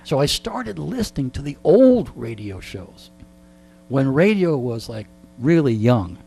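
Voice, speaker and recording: A man in his sixties in a radio interview, chatting like an animated storyteller and turning aside to address listeners. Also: mid-range voice, measured pace. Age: 60s. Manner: frequent throat clearing. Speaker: radio show host being interviewed. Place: radio studio. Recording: clear background hum and noise.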